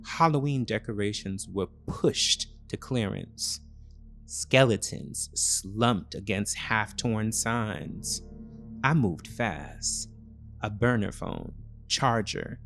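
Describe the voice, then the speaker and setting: Slow, measured male thriller narrator. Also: lowish voice, a bit bright. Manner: measured, short deliberate phrases. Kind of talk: scripted first-person thriller narration.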